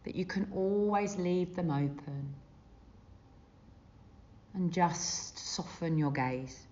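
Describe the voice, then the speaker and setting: A woman leading a guided meditation as a teacher, gentle and soothing, with unhurried phrases and long pauses. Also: on the low side. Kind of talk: guided body scan meditation. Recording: dull, muffled lo-fi sound.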